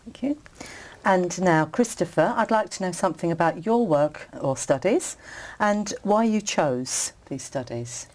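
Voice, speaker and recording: A woman examiner conducting a speaking exam, asking questions in a polite, measured way. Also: fairly low pitch, expressive, darkish tone.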